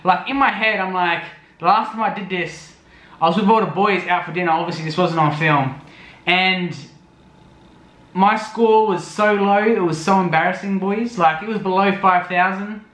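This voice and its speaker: Bright high-voiced young man. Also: moderate pace, echoey room. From Australia. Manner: rambling, lots of fillers. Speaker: vlogger. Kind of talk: casual voice-over recap.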